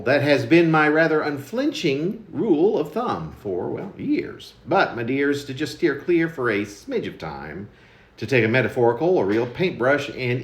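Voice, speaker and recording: A man's voice: medium pitch, roomy sound. A man reading his own poetry aloud in a conversational, wry, wordy and theatrical way. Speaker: poet reading own poem. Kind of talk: spoken-word poetry reading with informal introduction.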